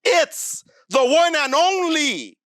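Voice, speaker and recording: Male host with a bright, sharp tone and a formal, announcer-like manner; dry, close studio sound. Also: very high-pitched, big pitch swings, slow, dry recording. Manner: formal announcer-style introductions. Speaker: show host. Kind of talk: scripted intro and interview questions.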